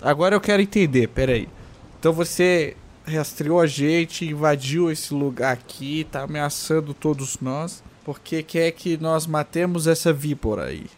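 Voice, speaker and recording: A man's voice: a little high, moderate pace. A man, a tabletop player asking blunt questions in character.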